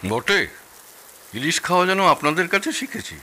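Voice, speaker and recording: A man's voice: sits high, very animated, normal speed, bright, forceful tone, a little hiss or hum, full character voice. A man acting an opinionated, nostalgic middle-aged father-in-law who loves his food, in a theatrical audio-drama style. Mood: grumbling. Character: grumbling, nostalgic elder Bengali father-in-law, fond of food.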